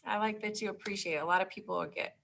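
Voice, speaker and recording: A woman in her forties, a podcast guest, talking in an animated, chatty way with lots of "like.". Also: medium pitch, quick pace, vocal fry, noisy background. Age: 40s. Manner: animated, talkative, frequent "like". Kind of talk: casual interview chat.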